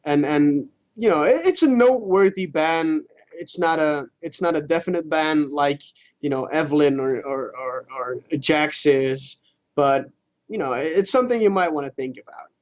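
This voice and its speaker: High-voiced man in casual gaming talk. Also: lively intonation. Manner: halting, repeats words, swears casually. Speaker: co-host of a gaming show.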